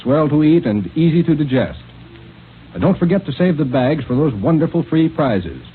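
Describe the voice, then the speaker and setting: A male announcer enthusiastically delivering a scripted 1930s radio pitch to children. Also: lively intonation, moderate pace, faint music behind. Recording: scratchy, tinny old radio recording.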